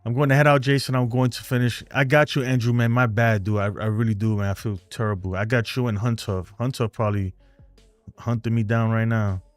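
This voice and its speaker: A man's voice: medium pitch, fairly even intonation, a little fast, dry recording, faint music behind. A man with a New York accent hosting a fan live stream, chatting loosely and rambling as he answers viewer comments. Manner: rambling, talkative, loose and frank.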